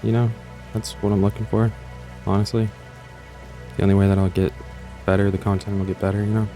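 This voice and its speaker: Offhand, low-voiced man with a mellow tone. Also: monotone, brisk, soft background music. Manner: offhand, repeats himself and trails off. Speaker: creator and host of an audiobook channel. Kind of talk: casual rambling introduction to viewers.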